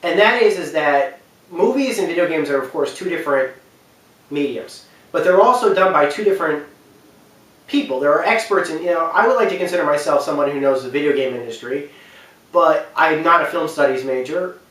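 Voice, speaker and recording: In a very echoey room, some distance from the microphone, a man talks off the cuff. He has a slightly high voice and rambles through his opinions, hesitating, doubling back and leaning hard on key points. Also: lively intonation, brisk, a bit bright. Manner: rambling, hesitant, often backtracking. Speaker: host giving video commentary. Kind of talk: unscripted opinion talk.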